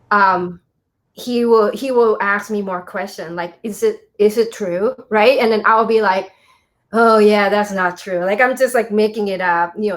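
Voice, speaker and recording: Earnest woman, English. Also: medium pitch. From Thailand. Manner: earnest, animated, frequent fillers. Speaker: co-guest, spiritual teacher. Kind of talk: casual interview talk.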